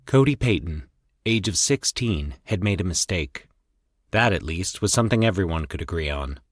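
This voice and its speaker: A man with a low voice reads scripted horror fiction as a podcast narrator. His delivery is measured and theatrical, with lively rises and falls, recorded dry with no room sound. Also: dry recording.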